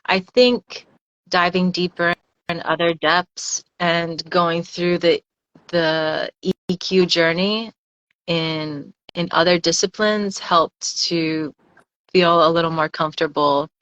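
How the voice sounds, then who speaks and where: Bright-voiced woman on a dull dry line. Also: on the low side, steady tone, no room sound. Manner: thoughtful, halting, repeats words while explaining. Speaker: freediver guest. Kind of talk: casual podcast interview.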